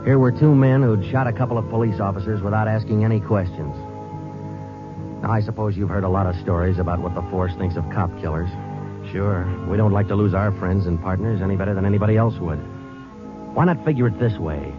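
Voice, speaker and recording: An actor playing a calm, matter-of-fact American police detective in a radio crime drama, clipped and understated as he talks. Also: lowish voice, a little fast, lightly acted. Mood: grave, measured. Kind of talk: 1950s radio crime drama acting and narration. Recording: muffled old-time radio, music underneath.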